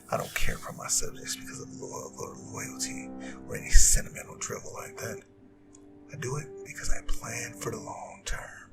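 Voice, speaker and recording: A scheming, immortal Demon King acted by a man holds forth in a breathy, very creaky half-whisper. His pitch swings dramatically as he taunts and persuades with smug, silky menace. It is a scripted ASMR audio drama, with prominent music running behind the voice. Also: rough recording. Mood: calculating, persuasive. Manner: smug, taunting, deliberate, persuasive villain speech.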